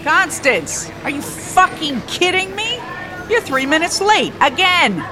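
A woman's voice: very high-pitched, very animated, slow pace, a crowd in the background, noisy background, full character voice. A woman acting in an audio drama as a brusque, sarcastic, hot-tempered bus company boss. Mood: angry, threatening.

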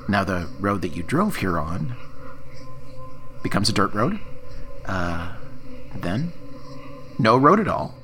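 A man's voice: fairly low pitch, very animated, slow pace, pauses often, a bit bright, soft background music, heavily acted. An actor playing a practical, steadying diner crewman in an audio drama, plain-spoken and a little hesitant. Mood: calm, reassuring. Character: practical, steadying male diner crew member. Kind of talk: naturalistic audio drama acting.

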